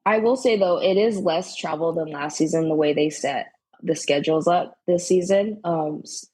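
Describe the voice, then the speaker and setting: A woman's voice: sits low, moderate pace, dark tone, breathy edge. A woman who plays professional sport, chatting casually as a guest on a podcast. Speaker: podcast guest, pro cornhole player. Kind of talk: casual interview chat.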